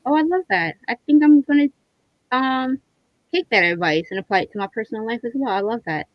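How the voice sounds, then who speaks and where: A woman's voice: a little high, animated, fairly quick, dry recording, lo-fi sound. A woman hosting a casual livestream in an enthusiastic, appreciative way. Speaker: host.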